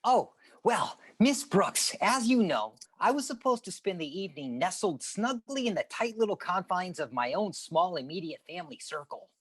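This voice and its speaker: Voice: medium pitch, sing-song, normal speed, hardly any pauses, breathy edge. An actor playing an eager teenage schoolboy with flowery, pompous phrasing in a radio comedy. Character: Walter Denton, florid, overeager teenage schoolboy.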